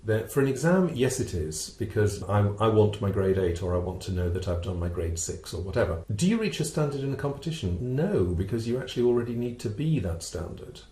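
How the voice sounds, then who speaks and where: A middle-aged man presenting a webinar as a vocal coach, explaining step by step in a measured way, posing questions and answering them. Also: on the low side, big pitch swings, mellow. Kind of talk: explanatory teaching talk with a co-presenter. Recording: roomy, reverberant sound.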